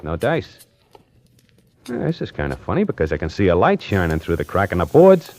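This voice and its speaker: Restless, animated low-voiced radio salesman. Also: a little fast, exaggerated voice. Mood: restless, wry. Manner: streetwise, colloquial, dramatic. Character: slangy, restless, hard-up male necktie salesman narrating his troubles. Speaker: lead actor and narrator of a radio suspense play. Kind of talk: 1940s radio suspense acting, first-person narration. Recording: old radio broadcast with music behind.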